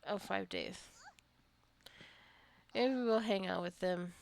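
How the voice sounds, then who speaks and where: A woman giving casual, rambling gameplay commentary as a let's-play host, musing aloud to the game's characters in a creaky voice. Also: mid-range voice, slow, slight background noise.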